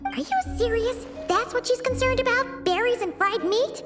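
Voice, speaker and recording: A woman voicing a tiny, excitable childlike fairy companion with a squeaky voice in a video game. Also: moderate pace, loud music behind the voice. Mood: incredulous, eager. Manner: reactive, exclaiming and questioning.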